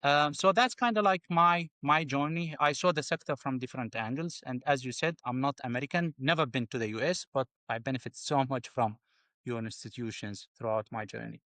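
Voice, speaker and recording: Measured, halting man from Syria. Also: mid-range voice, sharp tone, no room sound. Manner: measured, slightly halting, repeats words. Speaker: guest. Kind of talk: interview answers.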